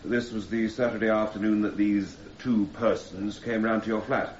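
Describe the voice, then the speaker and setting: An actor as a stern male barrister cross-examining in a radio play. His voice is fairly low, deliberate and pointed, heavy with disbelief. It rings in a roomy space with slightly muffled sound. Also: steady tone, a little mellow, close to an everyday voice. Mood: pressing. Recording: roomy, slightly muffled, faint background noise.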